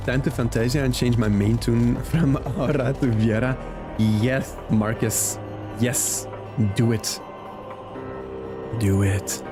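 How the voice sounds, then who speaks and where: Male game streamer, medium pitch, relaxed chatty delivery, reacts aloud, music underneath. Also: takes it slowly. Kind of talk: casual livestream chat while playing. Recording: music behind the voice.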